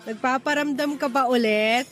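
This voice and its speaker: Independent young sweetheart, candid, assertive, higher-pitched, radio drama, music underneath. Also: female voice, normal speed, fairly bright tone. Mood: guarded, open. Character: independent, outspoken young woman rekindling an old romance. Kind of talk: Filipino radio drama acting.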